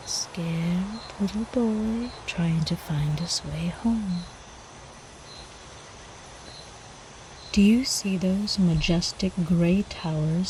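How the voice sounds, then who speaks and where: Lady acting as an old vampire countess for asmr, slow soft mellow murmur, refined and teasing, crickets behind. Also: fairly low pitch, slight background noise, poor audio. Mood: silky, possessive. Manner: slow, refined, coyly teasing, formal old-fashioned diction.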